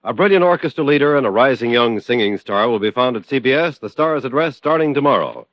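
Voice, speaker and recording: A man's voice: medium pitch, animated, fast talker, no room sound. A man with an American accent reading scripted announcements as a polished, promotional 1950s radio announcer. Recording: dull old radio recording.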